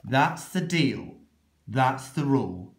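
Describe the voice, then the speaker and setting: A man's voice: medium pitch, sing-song, takes it slowly, a little hiss or hum. A man reading a children's book aloud in a theatrical, exaggerated, mock-exasperated way. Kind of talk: animated children's story read-aloud. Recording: echoey room.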